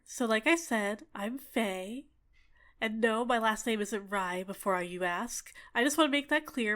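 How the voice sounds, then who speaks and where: A woman's voice: mid-range voice, close to an everyday voice. Woman playing a gushing, possessive little fairy. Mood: excited, eager. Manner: excitable, gushing, coy, chatty. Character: bubbly, clingy, possessive female fairy, sweetly menacing. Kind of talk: ASMR roleplay, scripted character acting.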